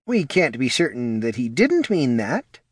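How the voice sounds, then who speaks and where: A sour old sorceress of high rank , as a male narrator acts her out, pitched up and animated. She speaks with stern, sardonic hauteur in a fantasy audiobook, recorded dry. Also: measured pace, breathy edge, dry recording, exaggerated voice. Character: haughty, sour old female mage counselor.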